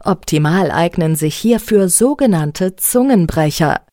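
A woman giving a speech training lesson, talking in a clear, deliberate, carefully articulated way. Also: lowish voice, animated, dark tone, no echo, clean studio sound. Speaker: speech coach. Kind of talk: instructional speech exercise tutorial.

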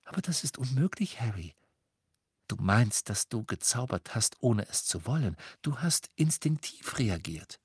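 A man voicing a clever, gentle young woman who speaks softly, in an audiobook. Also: medium pitch, very animated, measured pace, husky, dry recording, studio quality, heavily acted. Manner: soft, gentle.

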